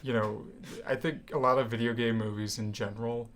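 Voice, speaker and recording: A man's voice: medium pitch, steady tone, fairly quick, a bit bright, a little hiss or hum. A young American man co-hosting a podcast in a casual, conversational style. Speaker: podcast co-host. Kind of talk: casual chat.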